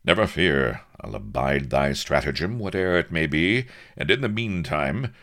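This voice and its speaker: Storybook pirate captain, acted by a male narrator: dashing, eager, chivalrous, hearty archaic flourishes, very animated intonation. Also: rumbling low, a little slow, exaggerated voice. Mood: hearty, grateful.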